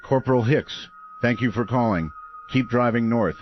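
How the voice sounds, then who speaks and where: An actor playing a grim, weary late-night radio host, speaking gravely and deliberately in an audio horror drama. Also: male voice, medium pitch, dull audio, close to an everyday voice. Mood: grave warning. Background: music.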